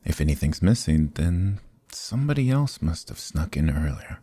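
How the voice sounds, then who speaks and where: A man playing a sly, charming adventurer thief in a fantasy ASMR roleplay, smooth-talking, courtly and evasive, with theatrical hesitations. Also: a bass voice, big pitch swings, normal speed, warm tone, full character voice. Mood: glib, evasive. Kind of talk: fantasy ASMR roleplay acting, close and soft.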